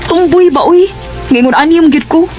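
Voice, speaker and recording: High-pitched Cebuano schoolgirl. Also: moderate pace, warm tone, faint music behind. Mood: bashful, resistant. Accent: Cebuano Filipino. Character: shy, playful teenage girl student being courted. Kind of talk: Cebuano radio drama acting.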